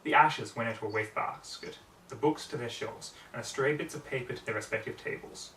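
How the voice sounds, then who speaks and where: A man's voice: medium pitch, a little fast, pushed and bright. A man reading scripted fiction aloud as an amateur audiobook narrator. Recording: roomy, distant mic, faint background noise.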